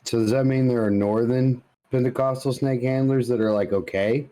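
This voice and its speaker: A man with a mellow, relaxed voice of medium pitch chats as a podcast co-host. His delivery is casual, with wry, joking asides. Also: moderate pace. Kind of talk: casual comedic podcast chat.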